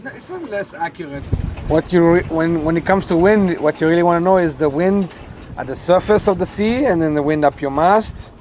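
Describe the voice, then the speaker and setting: A man's voice: a little high, brisk, a little mellow. A man in his thirties firmly correcting and explaining wind on a sailboat, outdoors with a handheld mic. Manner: firm, corrects the other speaker directly. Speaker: sailing vlogger, co-host. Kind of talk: casual correction in conversation. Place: outdoors on a sailboat under a canopy. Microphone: handheld furry mic. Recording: faint wind and water noise.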